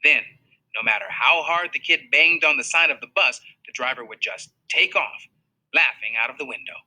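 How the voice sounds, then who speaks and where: A man reading a children's novel aloud in an expressive storytelling manner. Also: medium pitch, moderate pace, rough recording. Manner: expressive storytelling, voices for characters. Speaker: reader.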